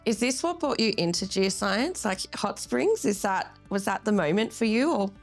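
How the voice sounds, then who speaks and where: A woman's voice: mid-range voice, sing-song, normal speed, faint music behind. A woman interviewing in a casual, chatty style, with a bubbly and encouraging manner.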